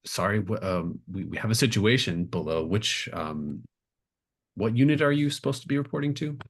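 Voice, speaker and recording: A man's voice: on the low side, animated, moderate pace, pitched above the performer's own voice. A man voicing a short-tempered, frazzled containment crew worker in a tabletop game, exasperated and doubtful. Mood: flustered, doubtful. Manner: snapping, exasperated, hesitant.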